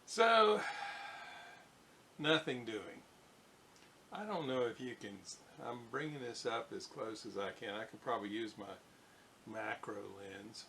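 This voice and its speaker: A middle-aged man giving a casual workbench demonstration, thinking aloud in an unhurried way with fillers. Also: mid-range voice, animated, sharp tone, slight background noise. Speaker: radio restoration hobbyist. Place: home electronics workbench.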